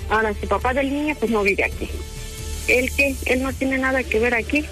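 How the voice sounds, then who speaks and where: A woman's voice: sits high, fairly quick, bright, forceful tone, faint music behind. A woman giving evasive, defensive, hesitant answers in a spontaneous phone conversation. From Hidalgo, Mexico.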